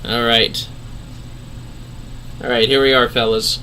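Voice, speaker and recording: A man's voice: medium pitch, flat delivery, slow, sharp tone, a little hiss or hum, rough recording. A man giving casual, sweary and jokey livestream commentary on a game, often exclaiming. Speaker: livestream host.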